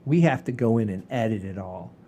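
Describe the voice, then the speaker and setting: An older man hosting a casual podcast, rambling in a folksy, halting way with repeats and the odd mild swear. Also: fairly low pitch, dark tone, a little hiss or hum. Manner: folksy, mildly profane, halting and repetitive. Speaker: podcast host.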